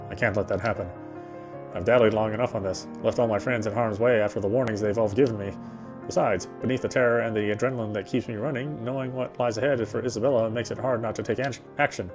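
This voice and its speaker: A man reading the first-person narration of a horror visual novel in a measured, brooding way. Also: on the low side. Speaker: reader.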